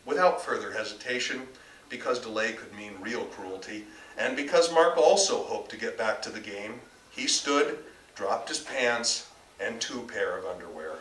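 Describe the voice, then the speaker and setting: A man's voice: fairly low pitch, expressive, normal speed, a bit bright. A man reading his own story aloud in a dramatic, emphatic storytelling manner. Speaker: author reading own work. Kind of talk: live literary reading, scripted narration. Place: bookstore reading event before an audience. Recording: distant mic, echoey room, faint noise.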